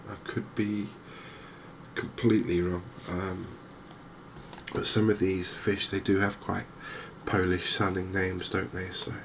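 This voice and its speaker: A man giving softly spoken, gentle ASMR game commentary in an unhurried, hesitant way. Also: deep voice, level tone, slight background noise. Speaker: host of a cozy gaming channel.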